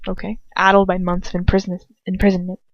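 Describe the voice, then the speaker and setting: A woman's voice: lowish voice, completely flat delivery, fast, no room sound. Woman narrating fiction, dry and slightly muffled. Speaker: amateur audiobook reader. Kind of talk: dramatic first-person fiction narration.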